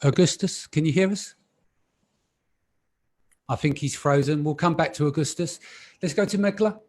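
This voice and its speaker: Chatty podcast host, higher-pitched man. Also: normal speed. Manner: relaxed, chatty, frequent ums. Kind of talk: live panel discussion.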